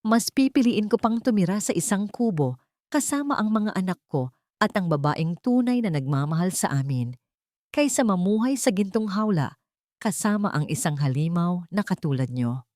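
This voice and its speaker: A woman's voice: medium pitch, animated, moderate pace, a little mellow, no echo, studio quality, pitched above the performer's own voice, natural voice. A woman voicing a defiant, devoted wealthy father in an audiobook, speaking in earnest, emphatic declarations. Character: defiant, devoted wealthy young father standing his ground.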